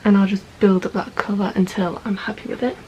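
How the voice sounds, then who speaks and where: A woman with a croaky voice calmly narrating a makeup tutorial step by step. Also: medium pitch, brisk, dull audio. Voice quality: gravelly. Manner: calm, methodical, narrates each step as she goes. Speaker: makeup vlogger.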